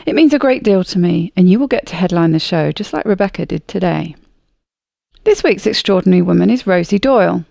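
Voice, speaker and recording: Woman, podcast host, upbeat and chatty, gravelly fry, dry close sound. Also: on the low side, expressive, fairly quick, dark tone, no echo. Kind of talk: scripted intro, then casual interview chat.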